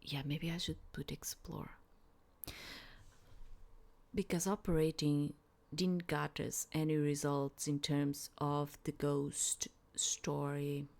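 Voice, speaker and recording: A woman giving casual, rambling gameplay commentary, thinking aloud with hesitant pauses and lots of filler words. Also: low-pitched, flat delivery, gravelly, a little mellow. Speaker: game streamer, let's player.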